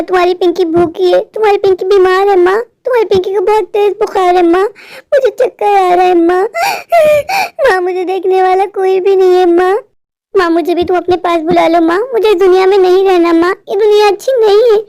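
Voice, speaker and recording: A woman voicing a plaintive, very high-pitched little girl. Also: normal speed, a little mellow, no room sound, studio quality. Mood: tearful, despairing. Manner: pleading, plaintive. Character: hungry, sickly, heartbroken little stepdaughter girl. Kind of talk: melodramatic Hindi cartoon moral story.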